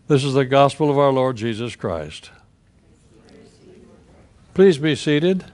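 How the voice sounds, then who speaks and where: An elderly man preaching a sermon from a church pulpit, reading scripture and then teaching in a slow, deliberate way with emphatic pauses. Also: medium pitch, lively intonation, darkish tone. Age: older. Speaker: pastor.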